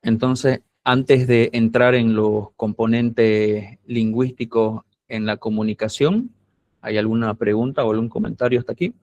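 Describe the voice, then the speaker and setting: A man with a Bolivian camba accent teaches a university class at a mid pitch. He explains patiently, step by step, and keeps checking that his students follow. His voice sounds dry and close, with no room echo. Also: fairly even intonation, unhurried, darkish tone. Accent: Bolivian camba (Santa Cruz). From Santa Cruz, Bolivia. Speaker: university lecturer. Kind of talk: classroom lecture.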